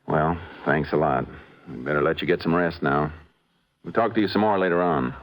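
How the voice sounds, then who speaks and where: Deep-voiced calm lawman, old radio. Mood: measured questioning. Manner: measured, probing. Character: calm, methodical male lawman investigator. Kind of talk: 1950s radio acting. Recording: hissy vintage radio recording.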